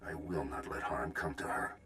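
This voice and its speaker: A man playing a proud, protective Jedi Master in a video game, speaking in a measured, formal, defiant way. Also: rumbling low, steady tone, bright, forceful tone, a little hiss or hum. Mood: guarded, resolute.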